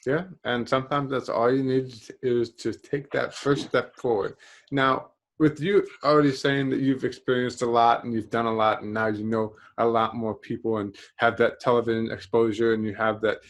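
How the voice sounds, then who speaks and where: A man hosts an interview, stacking clause on clause before he reaches each question. He speaks at a middling pitch, in a plain and steady way. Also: normal speed. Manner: long, winding questions. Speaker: interview host.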